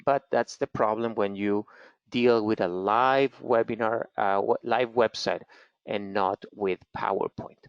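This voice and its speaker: A middle-aged man with a Venezuelan Spanish accent presenting a live webinar demo, unhurried and chatty, with lots of fillers. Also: mid-range voice, dry recording. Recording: slightly dull lo-fi webinar audio.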